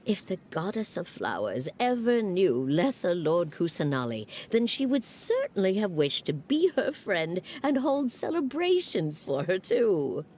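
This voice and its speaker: A woman's voice: medium pitch, wildly swooping pitch, measured pace, few pauses, darkish tone, slight background noise. A woman voicing a young woman fondly and reflectively recalling festivals of her childhood. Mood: nostalgic. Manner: reflective, storytelling. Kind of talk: game cutscene voice acting.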